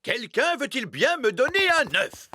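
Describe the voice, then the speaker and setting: A man's voice: very high voice, sing-song, unhurried, exaggerated voice. A man voicing a pompous, fussy middle-aged fairy king in a children's cartoon, commanding and easily exasperated, with noise behind him. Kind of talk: animated children's cartoon dub.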